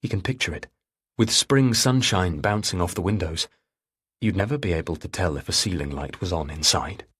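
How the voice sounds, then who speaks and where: A man's voice: low voice, moderate pace, fairly bright tone, slightly rough. A man reading a thriller audiobook as narrator, in a dramatic scripted style.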